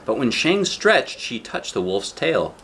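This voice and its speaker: A man reading a picture book aloud as a dad does, in expressive narration with casual asides. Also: mid-range voice, a little slow, a little hiss or hum.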